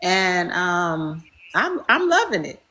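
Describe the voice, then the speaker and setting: A woman in her fifties chatting casually as a podcast guest, bubbly and quick to laugh. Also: on the low side, sing-song, bright, forceful tone, slight background noise. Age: 50s. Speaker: guest, body care business owner.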